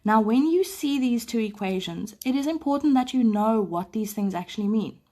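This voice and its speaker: A woman, a maths teacher, is sick, and her voice is a little off. Her voice sits on the high side, and she clears her throat often as she walks students through the work. She explains patiently, as if beside them at a desk. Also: expressive, normal speed, warm tone. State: sick, voice a bit off. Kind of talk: live-streamed maths lesson. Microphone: phone camera over paper.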